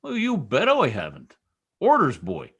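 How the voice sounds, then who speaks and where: An actor playing a boastful, folksy small-town American husband in an old-time radio comedy, with cocky, slangy, ungrammatical talk. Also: male voice, on the high side, wildly swooping pitch, a little slow, fairly bright tone, slightly rough, muffled recording, heavily acted.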